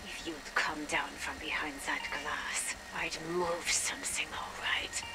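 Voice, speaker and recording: Slow-spoken, defiant young captive. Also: female voice, low-pitched, fairly even intonation, heavy background noise. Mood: weary hostility. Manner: guarded, defiant, sighing. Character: defiant, weary young woman held captive. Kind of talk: dramatic video game voice acting.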